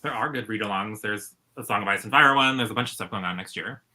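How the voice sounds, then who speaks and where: Man, slightly low pitch, strikingly bright tone, informal book-chat co-host. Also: fast talker. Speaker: book reviewer, read-along co-host. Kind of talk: casual chat.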